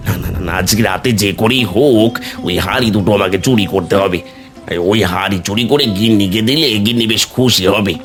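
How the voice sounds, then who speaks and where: Man playing a greedy village uncle, lowish voice, suspicious, exclamatory, repetitive, cartoon fairy tale, music behind. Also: brisk, natural voice. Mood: greedy scheming. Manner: exclamatory, incredulous, repeats words.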